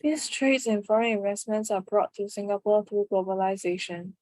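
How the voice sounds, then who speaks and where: A female student reads a prepared essay answer in a steady, even voice. The sound is very dry and close, with no room around it. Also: mid-range voice, normal speed, no echo, soft background music, low-quality recording.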